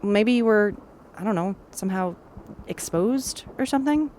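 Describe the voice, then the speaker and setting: A woman's voice: mid-range voice, expressive, normal speed, mellow, a little hiss or hum, natural voice. An actress playing a skeptical, teasing young woman in an audio drama, speaking in a dry, doubtful manner.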